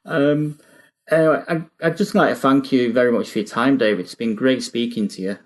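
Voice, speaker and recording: Male podcast host, mid-pitched, informal, feeling his way through questions. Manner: hesitant, halting phrasing. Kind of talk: casual interview chat.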